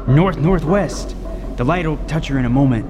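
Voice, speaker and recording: A man's voice: a little high, normal speed, background noise, close to an everyday voice. An actor playing a lighthouse keeper who tells his own harrowing tale in vivid, suspenseful old-time radio style. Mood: tense anticipation. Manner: vivid, suspenseful first-person storytelling. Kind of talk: 1940s-style radio drama narration and acting. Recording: long reverb with music underneath.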